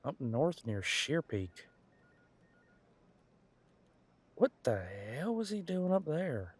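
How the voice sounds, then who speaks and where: A man's voice: on the high side, very animated, measured pace. A man playing a casual, wry police detective in an audio roleplay, musing in a conversational, hesitant way. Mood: puzzled, intrigued.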